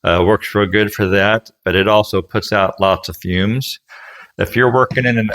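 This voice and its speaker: A man's voice: fairly low pitch, fairly even intonation, moderate pace, a bit bright. A man giving casual, plain-spoken how-to narration as a craftsman, explaining things step by step in a folksy way. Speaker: furniture restorer and instructor.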